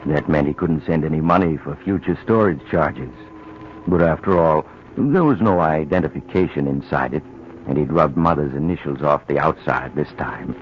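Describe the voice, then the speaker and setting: A man narrating a radio drama in a measured, grim storytelling manner. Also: a bass voice, a little hiss or hum. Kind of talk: scripted narration. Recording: dull old radio recording.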